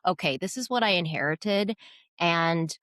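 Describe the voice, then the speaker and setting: A woman's voice: lowish voice, measured pace, a bit bright, dry recording, studio quality. A woman hosting a podcast interview, speaking in an eager, grateful way. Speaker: podcast host.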